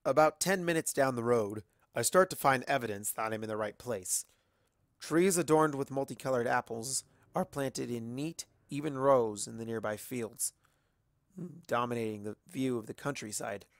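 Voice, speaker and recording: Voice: mid-range voice, moderate pace, no room sound, clean studio sound. A narrator reading a first-person story expressively. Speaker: fan fiction narrator.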